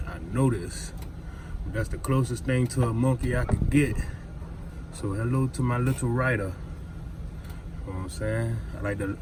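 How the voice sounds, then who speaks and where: An American man with an informal, slangy way of talking, chatting casually as he demonstrates a hands-on job for a vlog. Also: medium pitch. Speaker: vlogger demonstrating a DIY car install. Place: in or around a vehicle. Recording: clear background noise, vehicle ambience.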